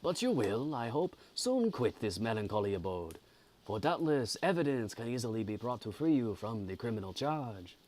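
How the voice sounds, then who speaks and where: Man putting on a courteous French gentleman, raised pitch. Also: big pitch swings, normal speed. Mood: gentle concern. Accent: put-on French. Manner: formal, elaborate phrasing. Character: courteous, sympathetic French gentleman in a gothic novel.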